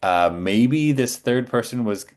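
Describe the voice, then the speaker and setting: A man speaking as a podcast guest, informal and halting, often correcting himself as he goes. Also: medium pitch, lively intonation, darkish tone. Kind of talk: casual chat. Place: small home studio by a bedroom.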